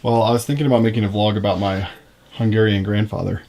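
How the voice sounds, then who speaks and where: A man's voice: lowish voice, fast, a little hiss or hum. A young man from the United States, talking casually and conversationally to the camera for his vlog. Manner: relaxed, conversational. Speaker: vlogger.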